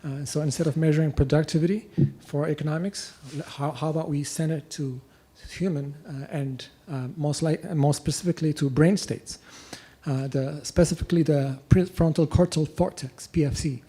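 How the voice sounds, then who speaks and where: A young man from Ethiopia asking a long question at a lecture, halting and full of restarts. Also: on the high side, steady tone, warm tone, husky. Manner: halting, many fillers and restarts. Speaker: audience questioner. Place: lecture hall.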